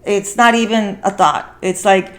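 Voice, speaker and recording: A woman's voice: mid-range voice, steady tone, unhurried, a bit bright. A woman hosting a podcast, chatting casually and earnestly, with an encouraging way of repeating phrases for emphasis. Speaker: podcast host. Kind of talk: casual podcast conversation about a film.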